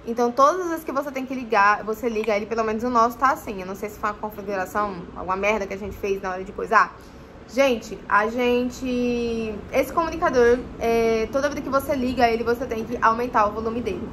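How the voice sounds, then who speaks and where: A young woman talks casually to the camera from her living room, chatty and loose with her fillers. Her voice sits high. A faint hiss runs behind her. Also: fast talker, a bit bright. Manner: chatty, often says "gente", many fillers. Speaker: motorcycling vlogger.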